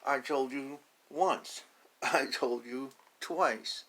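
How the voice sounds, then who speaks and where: A man voicing a stubborn, grumpy little duckling in a picture book, with drawn-out quacking protests. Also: mid-range voice, a bit bright, voice pushed up, low-quality recording.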